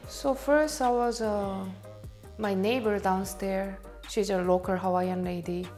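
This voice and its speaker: A woman speaking English as a second language with a Korean accent, telling her story as a barber in a documentary interview, in halting phrasing and laughing at herself. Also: medium pitch, steady tone, a little mellow, soft background music.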